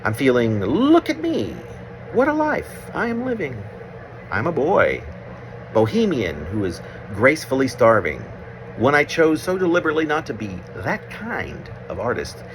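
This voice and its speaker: A man reading his own autobiographical poem aloud as a poet, phrasing deliberately with pauses mid-sentence, in a noisy recording. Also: medium pitch, wildly swooping pitch.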